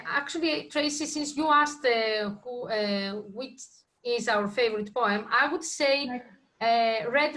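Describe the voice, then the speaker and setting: A woman hosting a casual group discussion, speaking in halting, hesitant phrases. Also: fairly high pitch, bright, forceful tone, low-quality recording.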